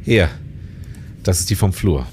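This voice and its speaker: Guy doing a deep-voiced dutiful cop in a crime radio play, self-reproaching, noisy background. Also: expressive, unhurried, darkish tone. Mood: businesslike. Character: dutiful, self-reproaching male police officer. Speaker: radio drama actor.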